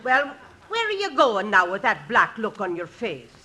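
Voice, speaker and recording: A woman's voice: medium pitch, sing-song, moderate pace, bright, forceful tone. A woman playing a blunt, wry widowed Irish-American mother in a theatrical 1950s radio comedy style. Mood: scolding. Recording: crackly, noisy 1950s radio broadcast.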